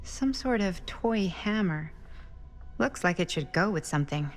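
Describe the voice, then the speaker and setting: A woman's voice: fairly low pitch, lively intonation. A woman playing a wry young explorer in a video game, muttering dry jokes to herself. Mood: wry musing. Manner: slow, dry, sardonic self-talk. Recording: music behind.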